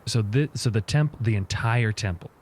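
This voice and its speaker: Low-voiced man at a game, noisy background. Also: moderate pace, a little mellow. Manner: thinks aloud, asks to confirm details. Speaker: player in a tabletop role-playing game. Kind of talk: casual game chat, slipping into in-character speech.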